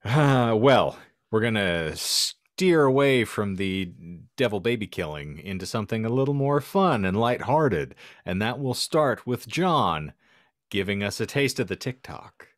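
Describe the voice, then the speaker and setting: A man's voice: fairly low pitch, sing-song, unhurried, no room sound, clean studio sound. A man hosting a comedic podcast in florid, theatrical, wordy language. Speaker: podcast host. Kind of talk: casual comedic podcast banter.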